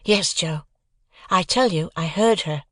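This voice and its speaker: A man's voice: sits high, measured pace, pushed and bright, dry recording, pitched above the performer's own voice, heavily acted. A man voicing an earnest young male apprentice with a pleading manner.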